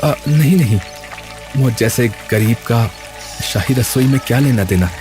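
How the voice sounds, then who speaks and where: A man acts out a young village cook for an animated story, honest and humble. The delivery is slow, hesitant and deferential, in a medium-pitched voice. Music plays clearly behind it. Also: natural voice. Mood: nervous, humble. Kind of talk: Hindi animated story dialogue.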